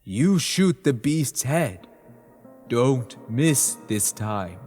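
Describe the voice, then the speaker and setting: A man voicing a grave, steady monster hunter, speaking slowly and solemnly over background music. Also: mid-range voice, big-room sound, exaggerated voice. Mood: stern.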